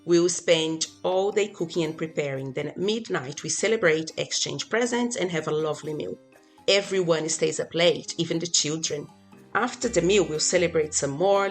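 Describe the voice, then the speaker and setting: Woman, very low voice, from Brazil, native Portuguese speaker, podcast guest, even read-aloud delivery, soft music behind. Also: moderate pace. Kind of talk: scripted podcast conversation.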